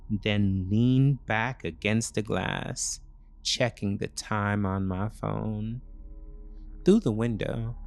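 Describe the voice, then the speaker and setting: A man narrating a scripted first-person thriller in short, measured, dramatic phrases. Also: lowish voice, creaky.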